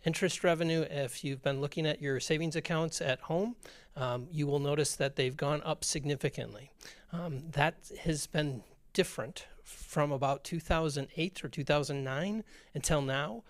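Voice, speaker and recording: A hoarse man, a school district business director giving an informal budget presentation at a public meeting, with many fillers and restarts. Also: fairly high pitch, moderate pace, no room sound.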